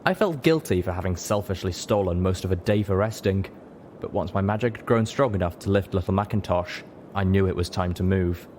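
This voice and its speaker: Man, low voice, audiobook narrator, scripted storytelling, noticeable background noise. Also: brisk, darkish tone.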